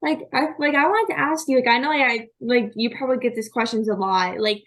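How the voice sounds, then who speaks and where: A woman's voice: fairly high pitch, a little fast, fairly bright tone. A young woman hosting a podcast interview, chatty and filling her talk with "like". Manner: chatty, says "like" constantly. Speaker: podcast host. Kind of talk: casual interview chat.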